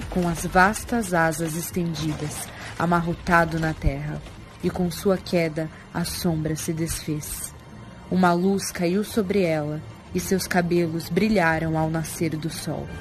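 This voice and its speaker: A woman with a Brazilian accent reading scripted, dramatic narration for a fantasy audio drama. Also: on the low side. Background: prominent music.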